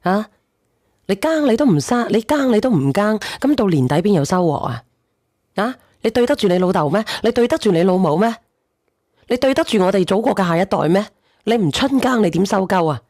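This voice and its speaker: A woman's voice: medium pitch, expressive, brisk, a little mellow, dry recording, studio quality. A woman hosting a live call-in stream in casual, bantering, slangy talk. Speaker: live-stream host taking calls.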